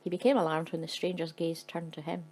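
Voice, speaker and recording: A woman's voice: low-pitched, little pitch movement, brisk, over music. A woman narrating a story in a dry, matter-of-fact way. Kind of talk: scripted narration.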